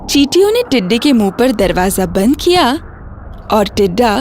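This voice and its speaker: High-voiced woman narrating a children's story. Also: big pitch swings, moderate pace, darkish tone, slight background noise. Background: music.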